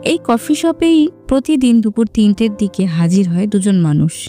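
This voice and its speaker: A woman narrates an audio story with a dark, mellow voice at an average pitch. Her delivery is poetic and measured, set over clear background music. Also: big pitch swings.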